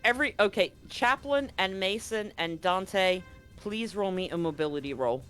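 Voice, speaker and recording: A man with a fairly high voice acts as game master for a tabletop roleplaying session. He talks casually and swears freely, with music playing faintly behind him. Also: expressive, moderate pace, bright, forceful tone, smooth.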